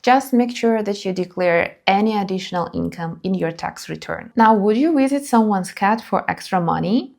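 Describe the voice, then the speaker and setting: A woman's voice: mid-range voice, moderate pace, creaky, few pauses, darkish tone. A young woman, a native Ukrainian speaker, giving upbeat scripted money tips straight to camera as a vlog host. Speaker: YouTube creator.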